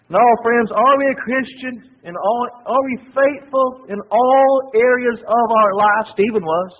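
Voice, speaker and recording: A man preaching a sermon with a loud, husky voice, chatting casually and then rising to emphatic preaching. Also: very high voice, slow pace, long pauses, phone-quality sound. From United States.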